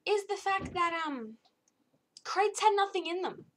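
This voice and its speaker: A young woman with a slightly croaky voice, giving a chatty, easily exasperated video game review with lots of fillers. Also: extremely high pitch, lively intonation, measured pace, fairly bright tone. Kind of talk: casual spoken game review.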